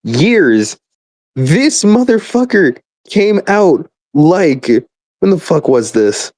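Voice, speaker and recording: A man's voice: high voice, big pitch swings, slow, darkish tone, dry recording, clean studio sound. Profane young man on a rambling rant. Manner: profane, exasperated, hyperbolic; stammering repeated starts. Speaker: gamer.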